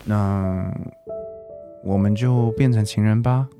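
A man's voice: on the low side, steady tone, slow pace, music in the background. A young man acting in a radio drama as a teasing, earnest man in his forties courting an old friend. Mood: romantic, pleading. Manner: teasing, persuasive.

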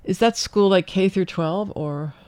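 A mature woman hosting a casual interview, thinking aloud in halting questions that often trail off. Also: mid-range voice, a little mellow, clear, resonant tone, no room sound. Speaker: radio interview host.

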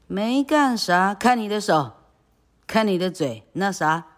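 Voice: mid-range voice, expressive, slow pace. A teacher voicing a loving but exasperated old aunt from a storybook read aloud, raising the voice to scold. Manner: raises voice to shout and scold.

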